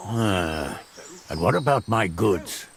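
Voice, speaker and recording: A man's voice: fairly low pitch, lively intonation, slow pace. An actor playing a haughty medieval lord leading his men in a video game, imperious and dryly sardonic. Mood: dry, commanding. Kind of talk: video game cutscene acting.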